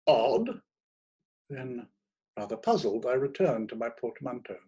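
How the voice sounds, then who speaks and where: A man narrating a first-person short story in a theatrical, expressive manner, savouring his words. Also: mid-range voice, moderate pace, breathy edge. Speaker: audiobook narrator.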